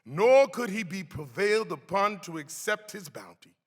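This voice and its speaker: A man giving a dramatic reading of a historic account, theatrical and deliberate, with swelling emphasis. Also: sits high, animated. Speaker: actor reading aloud. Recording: long hall reverb.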